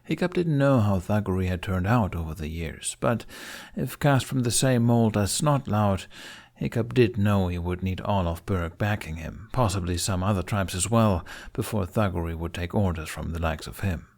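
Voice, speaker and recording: Low-voiced man narrating a story. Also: normal speed, darkish tone. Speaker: audiobook narrator. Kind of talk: expressive scripted story narration.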